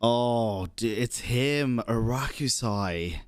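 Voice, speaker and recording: A man's voice: medium pitch, slow, clean tone, no room sound. Casual male game streamer, dry close mic. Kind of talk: casual live reaction commentary.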